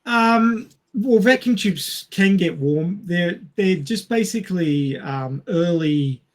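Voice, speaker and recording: A man's voice: high voice, expressive, slow pace. A man in a casual panel discussion, explaining technical things step by step. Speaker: podcast panelist.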